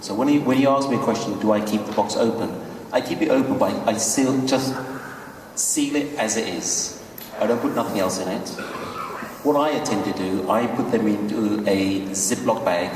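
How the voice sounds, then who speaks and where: A man on a panel who answers audience questions in a relaxed, joking way and often repeats phrases. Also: deep voice, slight background noise. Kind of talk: casual expert talk at a live panel. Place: large convention hall. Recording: echoey hall audio from far off.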